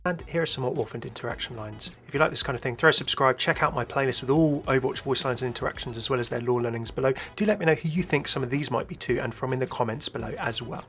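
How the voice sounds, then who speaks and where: A man's voice: mid-range voice, fast, hardly any pauses, fairly bright tone. A man hosting a video game lore commentary, speculating aloud and speaking to the viewer in conversational asides. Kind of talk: scripted-sounding video commentary.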